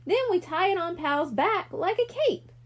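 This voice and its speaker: A woman plays a playful, scheming young boy in a children's audiobook. He is keen and cheeky, high-pitched and bouncing in tone. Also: animated, normal speed. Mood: eager.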